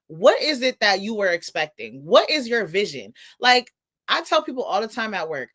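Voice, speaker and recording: A woman co-hosting a podcast, explaining her opinion in a casual, emphatic way and restating points to make them clear. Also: medium pitch, very animated, moderate pace, fairly bright tone. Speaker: podcast co-host.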